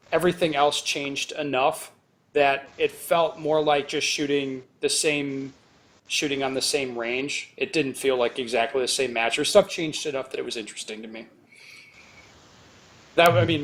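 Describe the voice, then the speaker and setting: A man's voice: medium pitch, fairly bright tone. A man hosting a casual podcast chat, rambling and correcting himself as he goes. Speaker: podcast host.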